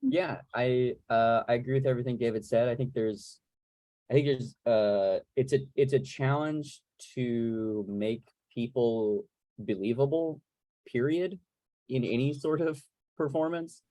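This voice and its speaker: A man moderating a casual interview over a video call, choosing his words in a hesitant, measured way. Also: mid-range voice, warm tone, no room sound. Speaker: moderator, podcast host.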